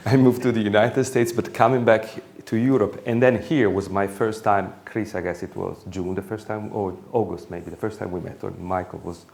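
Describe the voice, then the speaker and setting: A middle-aged Italian man with an Italian accent gives a public lecture on a stage, pausing often with ums and restarts. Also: fairly low pitch, fairly even intonation, creaky, a little mellow. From Italy. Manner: halting, frequent ums and restarts. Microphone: wireless mic, standing.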